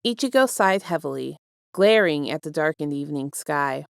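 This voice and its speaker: A woman reading fiction aloud as an expressive, scripted narration with measured, deliberate phrasing. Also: low voice, vocal fry, clean tone, no echo, clean studio sound. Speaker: fan fiction reader.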